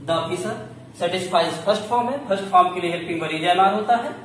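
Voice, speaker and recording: A high-voiced man with an Indian accent gives a lesson in a didactic, question-and-answer manner. He explains step by step like a schoolteacher. The sound is very reverberant and distant from the mic. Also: fairly quick, fairly bright tone. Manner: didactic, asks questions and answers them himself. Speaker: English grammar teacher. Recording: very echoey room, distant mic, faint noise.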